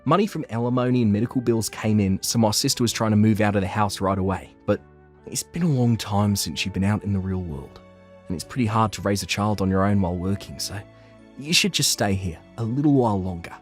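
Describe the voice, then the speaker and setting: A man's voice: on the low side, a little fast, faint music behind, natural voice. A man playing an earnest, anxious young office worker who narrates his own story in a manga dub. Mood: narrating, sympathetic.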